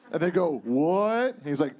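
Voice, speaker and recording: A man's voice: a little high, sing-song, slow pace, a little mellow. A man from Hawaii, a comedian guest on a live stage, telling a chatty anecdote between laughs. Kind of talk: casual stage banter.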